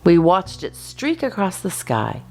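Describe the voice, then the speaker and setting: Female storytime reader, very lively intonation, slightly low register with gravelly edges, expressive delivery for kids. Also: unhurried, faint music behind, low-quality recording. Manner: animated, theatrical reading for children. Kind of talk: expressive children's storybook narration.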